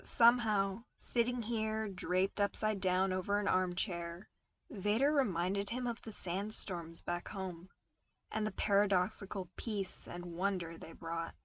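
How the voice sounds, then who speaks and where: Woman narrating a story, medium pitch. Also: measured pace, fairly bright tone. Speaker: podfic narrator. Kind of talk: expressive scripted story narration.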